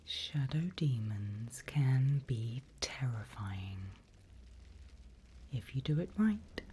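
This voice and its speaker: A woman voicing a vain, teasing female demon mentor in a slow, breathy whispered ASMR roleplay. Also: a bass voice, expressive, a little hiss or hum, full character voice. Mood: sly, ominous. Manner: slow, teasing, deliberate, hushed. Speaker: demon guide training a newcomer.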